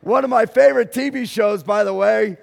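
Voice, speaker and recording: A male pastor, telling a story in a sermon slowly and deliberately, raising his voice at dramatic moments. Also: sits high, creaky, darkish tone. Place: church sanctuary. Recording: long hall reverb.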